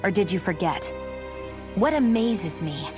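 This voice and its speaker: Actress as cool, blunt outcast woman, medium pitch. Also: expressive, measured pace, close to an everyday voice. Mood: scornful. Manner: curt, brusque. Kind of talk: video game cutscene acting. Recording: music behind.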